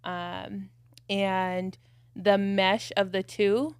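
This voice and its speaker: A woman co-hosting a podcast, telling her family story in a reflective, measured way with casual fillers. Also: medium pitch, little pitch movement, clear, resonant tone, dry recording. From United States. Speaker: podcast co-host.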